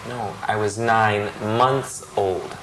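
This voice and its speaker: A man's voice: on the low side, fairly even intonation, takes it slowly, a bit bright, a little hiss or hum, poor audio. A man reading the answering part in a scripted practice dialogue, matter-of-fact with a joking touch. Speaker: dialogue reader answering questions.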